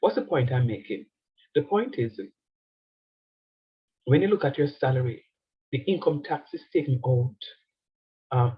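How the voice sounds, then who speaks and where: A man lectures a class with a stuffy, nasal voice from a cold, his tone slightly husky. He repeats key phrases and keeps asking whether the students follow him. The sound is a dull, lo-fi classroom recording. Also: medium pitch. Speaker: university lecturer.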